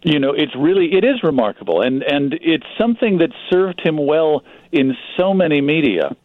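An American man giving conversational expert commentary as a radio guest, thoughtful and halting, often repeating words as he goes. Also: fairly high pitch, animated, dry recording. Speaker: radio guest, magazine publisher. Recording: dull band-limited radio line.

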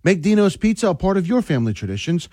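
A man reads a local pizza ad on the radio in a friendly way. His pitch moves in a lively arc. The sound is dry and close-miked. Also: quick pace, no echo. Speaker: radio co-host and ad reader.

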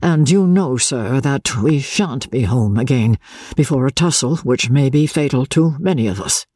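A man voicing a shy, earnest young army captain who speaks gravely and respectfully to an older man. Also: medium pitch, lively intonation, moderate pace, darkish tone, no echo, studio quality.

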